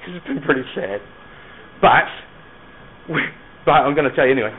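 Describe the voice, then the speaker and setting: A man with a softened Scottish accent and a touch of New Zealand, preaching to young people with rhetorical questions, pauses and emphatic repetition. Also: on the high side, lively intonation, a little hiss or hum. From Scotland, moved to New Zealand as a schoolboy. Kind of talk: evangelistic sermon to young people. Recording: narrow, phone-like lo-fi sound.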